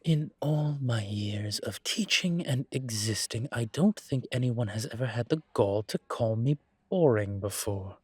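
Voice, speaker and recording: A man playing a suave incubus demon professor in a roleplay audio drama, teasing and protective, with a wry, measured delivery. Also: medium pitch, lively intonation, slightly rough, no room sound. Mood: wry, mock offended.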